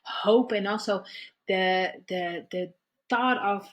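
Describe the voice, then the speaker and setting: A middle-aged woman telling her own story at an even, mid-range pitch. She speaks haltingly, circling back and repeating words as she feels her way toward what she means. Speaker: guest therapist. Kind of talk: personal story in conversation.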